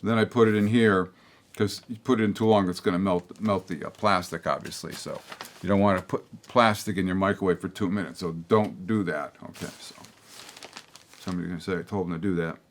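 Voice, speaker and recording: A somewhat low-voiced older man talks straight to the audience of his public-access show. He rambles bluntly, restarts often and drifts into asides. His throat sounds a little hoarse. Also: fairly even intonation, brisk, slight background noise. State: slightly hoarse, with a throat tickle. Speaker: host of a local public-access political show. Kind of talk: rambling opinion monologue to camera.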